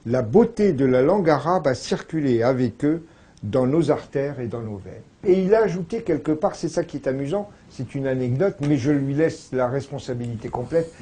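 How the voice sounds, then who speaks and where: A man's voice: medium pitch, expressive, moderate pace, warm tone, husky. A man speaking as a scholarly interview guest, explaining conversationally in a discursive, emphatically agreeable way.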